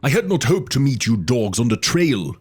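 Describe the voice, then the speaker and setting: A man's voice: medium pitch, big pitch swings, normal speed, husky, voice pushed up. A man reading aloud and voicing a blunt, fierce barbarian warrior who roars and bellows at a crowd. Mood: roaring exasperated. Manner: roaring, bellowing commands.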